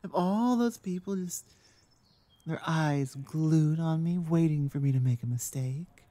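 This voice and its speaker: A woman's voice: low-pitched, measured pace, mellow, no room sound. A woman playing a rebellious young oni in a roleplay audio, with an exasperated, rambling, stop-start delivery. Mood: exasperated, venting. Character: rebellious young female oni venting about tradition. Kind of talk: roleplay audio acting, one-sided conversation.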